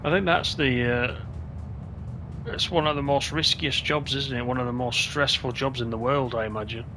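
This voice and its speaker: A man provides casual commentary for a gaming video, chatting and thinking aloud as he plays. His pitch is middling for a man. Clear game music runs behind his voice. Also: fairly even intonation, moderate pace, bright, forceful tone.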